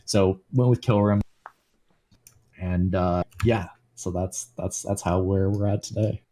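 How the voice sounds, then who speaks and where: This is a twenty-year-old man with a low voice, and his tone is warm and mellow. He chats easily on a podcast and often restarts his sentences as he goes. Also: fairly even intonation, a little slow, slight background noise. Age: 20s. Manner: rambling with restarts, easygoing. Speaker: community leader and guest. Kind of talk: casual podcast chat.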